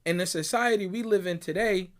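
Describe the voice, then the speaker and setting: A young man from Barbados with a notably high voice delivers a motivational monologue. He talks in an emphatic, preachy way, tagging his points with "right?" and "bruh" as he drives them home. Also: a little fast, fairly bright tone. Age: young adult. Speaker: motivational speaker. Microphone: audio clip recording.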